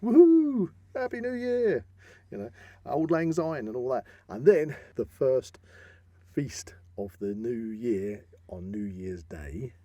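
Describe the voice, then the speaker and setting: A middle-aged British man co-hosting a podcast, chatting casually like an animated storyteller handing out practical advice. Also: mid-range voice, slow, no room sound. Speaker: podcast co-host.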